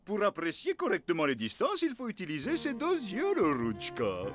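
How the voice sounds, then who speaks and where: A man's voice: high-pitched, huge pitch swings, moderate pace. An actor playing a theatrical male ninja teacher in a French cartoon, emphatic and instructive. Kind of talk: French cartoon dub acting. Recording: music underneath.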